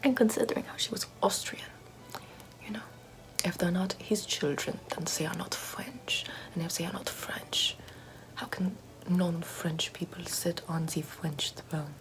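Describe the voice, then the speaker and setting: A woman's voice: fairly low pitch, unhurried, lightly acted, low-quality recording. A woman whispering as a vain aristocratic lady. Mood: haughty whisper. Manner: haughty, self-admiring, gossipy. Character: vain pompous aristocratic woman doing makeup. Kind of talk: ASMR roleplay.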